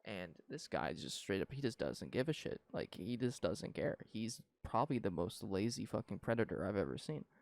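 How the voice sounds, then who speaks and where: A man's voice: fairly low pitch, very animated, normal speed, creaky, hardly any pauses, darkish tone, no echo. A nineteen-year-old man from Canada, co-hosting a podcast in casual, profane, rambling opinion chat. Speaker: podcast co-host.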